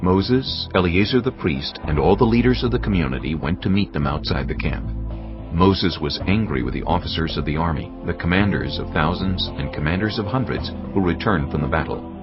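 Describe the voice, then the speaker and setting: A man's voice: deep voice, lively intonation, brisk, muffled recording. A man narrating scripture from a script as the narrator of a dramatised reading. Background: music.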